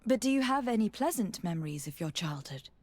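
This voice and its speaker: Game role: tactful young noblewoman, formal and earnest, very varied pitch. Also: very animated, brisk, a little mellow. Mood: gentle, curious. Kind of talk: video game dialogue acting.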